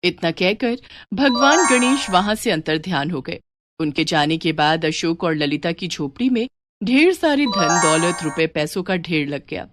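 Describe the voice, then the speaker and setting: A woman narrating a moral story with a clear, even, steady storyteller's delivery. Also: lowish voice, normal speed, music in the background.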